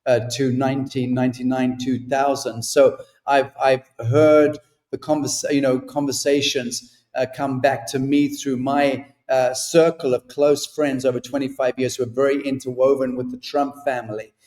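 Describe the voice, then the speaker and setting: A man's voice: mid-range voice, little pitch movement, normal speed, a little mellow, reverberant. A man as a podcast guest, delivering spiritual ideas in an emphatic, declamatory way. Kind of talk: spiritual talk, interview answers.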